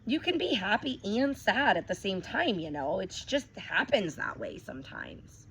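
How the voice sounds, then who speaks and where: Teacher lady doing a storybook read-aloud for little kids, very sing-songy, soft hum of the room behind her. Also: medium pitch, poor audio. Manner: expressive reading that pauses to ask listeners questions. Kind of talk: picture-book read-aloud for young children.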